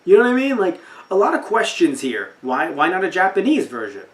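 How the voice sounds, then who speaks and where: A man's voice: sits high, wildly swooping pitch, fairly quick. A young man podcast host chatting casually about anime in a rambling, incredulous way, often repeating himself. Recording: roomy echo, distant from mic.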